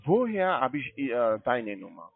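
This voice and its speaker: A man with a foreign accent in German, not a native speaker, arguing as a call center agent in halting, repetitive, agitated phrases over the telephone. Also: medium pitch, wildly swooping pitch, vocal fry, dry recording. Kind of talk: heated phone argument. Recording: tinny narrowband phone line.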